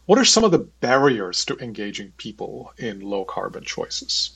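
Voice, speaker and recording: A man hosting an interview podcast, speaking conversationally in measured, rather formal and wordy sentences. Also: fairly low pitch, sing-song, vocal fry, fairly bright tone, husky, no echo, rough recording. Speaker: podcast host, works in finance.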